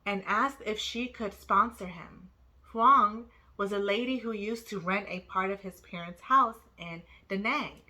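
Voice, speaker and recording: A woman reads a children's book aloud in a bright, clearly projected voice. Her phrasing is expressive and deliberate, aimed at young listeners, at a middle pitch. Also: low-quality recording. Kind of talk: storybook narration.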